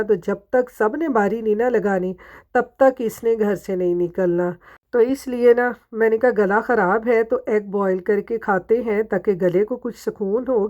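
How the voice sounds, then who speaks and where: A woman's voice: medium pitch, darkish tone. A woman from Pakistan, a home vlogger narrating her day chattily to viewers while her throat is sore. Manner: talks to viewers, asks them questions. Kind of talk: chatty voice-over narration of a daily routine.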